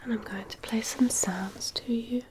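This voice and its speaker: A woman whispers softly and breathily in an ASMR medical exam roleplay, giving gentle, reassuring instructions as a doctor. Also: a little high, steady tone, normal speed, noisy background. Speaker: ASMR performer role-playing a doctor giving a hearing test.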